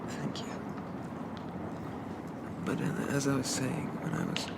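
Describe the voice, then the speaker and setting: A man playing a shy, polite undead zombie in a soft ASMR roleplay, stammering and hesitant in a gently formal way. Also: mid-range voice, level tone, slow, heavy background noise. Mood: gentle, polite.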